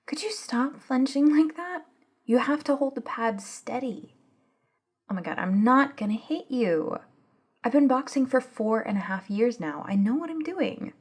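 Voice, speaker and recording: A woman's voice: high voice, expressive, unhurried, breathy edge, exaggerated voice. A woman acting in an ASMR audio drama as a brash, defiant young woman with a sarcastic, impatient, informal manner. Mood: teasing, impatient.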